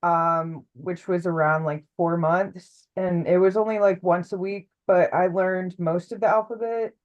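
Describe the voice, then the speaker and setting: A woman's voice: lowish voice, fairly even intonation, measured pace, lo-fi sound. A woman student introducing herself to an online class over a video call, talking casually and trailing off now and then.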